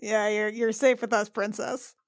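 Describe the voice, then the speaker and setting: A woman's voice: medium pitch, little pitch movement, normal speed. A woman reacting casually as a player during a tabletop role-playing game.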